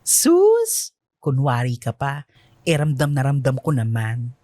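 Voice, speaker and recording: A woman's voice: extremely low pitch, wildly swooping pitch, takes it slowly, mellow, no room sound, studio quality, heavily acted. A woman playing a sassy, teasing best friend in a radio drama, with playful, exaggerated, slangy delivery.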